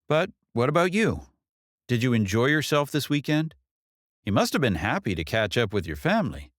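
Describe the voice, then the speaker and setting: A man's voice: medium pitch, very animated, moderate pace, dry recording, clean studio sound, voice pushed up, natural voice. A man voicing a confident, defensive grown daughter in a story, speaking in an earnest, pointed way. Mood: reassuring.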